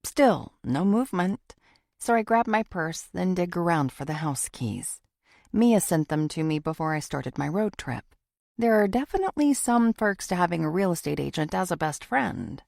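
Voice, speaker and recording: Low, creaky-voiced woman narrating an audiobook. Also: expressive, moderate pace, a little mellow, dry recording, studio quality. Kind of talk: scripted first-person thriller audiobook narration.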